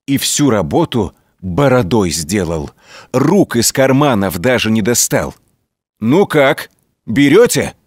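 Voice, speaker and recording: A man tells a folk tale as a storyteller. His delivery is colloquial and expressive, with very varied intonation. The sound is dry and close. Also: fairly low pitch, no room sound. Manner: folksy, colloquial, expressive telling. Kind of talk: scripted folk tale narration.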